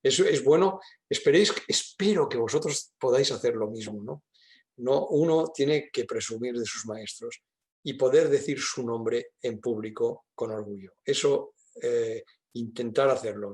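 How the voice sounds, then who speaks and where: A man's voice: mid-range voice, moderate pace, darkish tone. Older man, discursive Spanish speaker from Spain. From Catalonia, Spain. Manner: discursive, emphatic, frequent question tags. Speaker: university professor and guest speaker. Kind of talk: informal question-and-answer talk.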